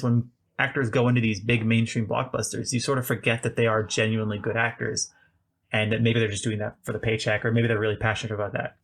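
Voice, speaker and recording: A man with a gravelly, creaky voice talks about movies in a loose, rambling way, circling back and restarting his thoughts. He sounds like a podcast host in casual conversation, at an ordinary middle pitch. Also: a bit bright. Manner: chatty, rambling, frequent "like" and restarts. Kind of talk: casual film discussion.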